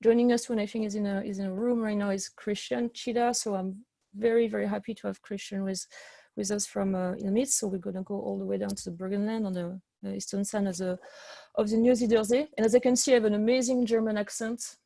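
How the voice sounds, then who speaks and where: A French-accented woman leads an online wine tasting over a video call, chatty and enthusiastic. Her voice is mellow and dark in tone, and it sounds dry and close, with no room around it. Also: mid-range voice, level tone, quick pace, creaky, no room sound. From France. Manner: chatty and enthusiastic, with frequent fillers and restarts. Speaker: sommelier and webinar host. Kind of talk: online wine seminar presentation.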